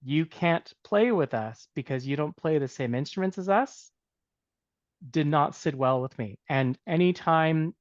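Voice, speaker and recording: A man's voice: fairly high pitch, expressive, a little slow, dry recording. A man, a music educator who grew up in Canada, telling a story in a reflective, casual way with many fillers and restarts. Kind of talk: casual storytelling on a video call. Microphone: video call. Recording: dull, dry video-call audio.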